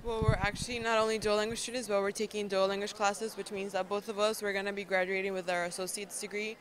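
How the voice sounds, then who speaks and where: A young woman from Mexico, a native Spanish speaker, giving earnest, steady answers as a student in an interview. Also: medium pitch, fast. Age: young adult. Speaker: graduating high school student.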